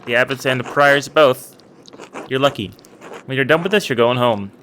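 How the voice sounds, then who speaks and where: A man voicing a young scribe monk who is devoted to his abbey and far from home, speaking in a flat, indifferent way. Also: medium pitch, moderate pace, natural voice. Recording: clear background noise.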